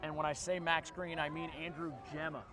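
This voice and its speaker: Excitable Philadelphia-accented sports commentator. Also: male voice, fairly high pitch. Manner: quick, jokey, rising to excited shouts on big plays. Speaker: play-by-play commentator. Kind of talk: lacrosse play-by-play commentary. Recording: outdoor broadcast with loud crowd and noise.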